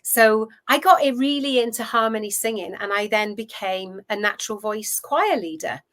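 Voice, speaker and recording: Welsh woman, 50s, interview guest; slightly high, lively storyteller voice. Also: normal speed. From Wales. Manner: chatty, animated storyteller with frequent restarts. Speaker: guest, food relationship coach. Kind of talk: casual interview chat.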